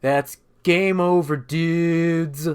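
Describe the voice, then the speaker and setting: A man's voice: fairly high pitch, steady tone, very slow, clean tone, voice pushed up. A laid-back robot bear from a video game, as a man recaps him. He announces grim rules in breezy slang, casually threatening. The delivery stays loose and conversational. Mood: breezy menace.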